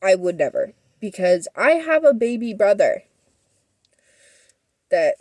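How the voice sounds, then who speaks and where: A woman's voice: mid-range voice, very animated, slow pace, darkish tone, no echo. A young woman telling a personal story as a vlogger, rambling and defensive with many fillers, sniffling.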